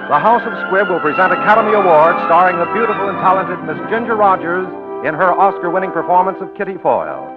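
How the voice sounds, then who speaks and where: A man's voice: high-pitched, very animated, quick pace, few pauses. A male radio announcer reading a script in a polished, grand 1940s style. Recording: dull 1940s radio recording over loud music.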